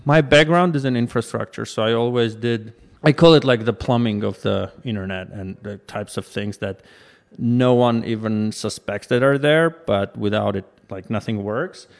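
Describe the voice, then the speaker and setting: A man's voice: on the low side, normal speed, gravelly. A man from Armenia, a startup co-founder answering interview questions thoughtfully, with fillers and restarts.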